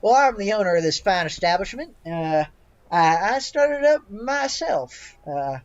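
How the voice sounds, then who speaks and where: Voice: medium pitch, very animated, a little slow, voice pushed up. Someone putting on a folksy tavern owner, dull recording. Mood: affable, boastful. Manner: chatty, folksy, talks over others.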